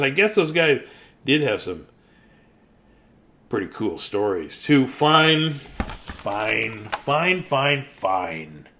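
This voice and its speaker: Storybook narrator male reader acting: self-important, whiny, exasperated, bright and highly animated. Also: full character voice. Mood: sulky, pleading. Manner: whiny, insistent, repetitive protests.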